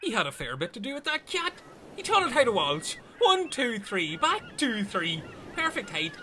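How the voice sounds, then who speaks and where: A man's voice: very high-pitched, wildly swooping pitch, normal speed, bright, forceful tone, a little hiss or hum, exaggerated voice. A man playing a daft, cheerful castaway oddball in a comic radio play, matter-of-fact about absurd things.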